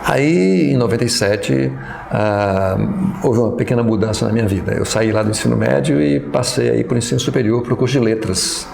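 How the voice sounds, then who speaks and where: Gravelly Brazilian man, hesitant storyteller. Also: mid-range voice, normal speed, a little mellow, echoey room, slight background noise. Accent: Brazilian Portuguese. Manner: hesitant, many fillers and restarts, reflective. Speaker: pastor and professor interviewee. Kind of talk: reminiscing interview.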